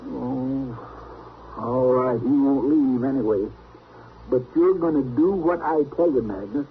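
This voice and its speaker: A man's voice: medium pitch, unhurried, narrow, tinny audio, lightly acted. An actor playing an exasperated, bossy frontier lawman's helper with a folksy Southern drawl, in old radio western style. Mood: scolding. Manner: scolding, querulous. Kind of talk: 1950s radio western acting. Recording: band-limited, noisy old radio recording.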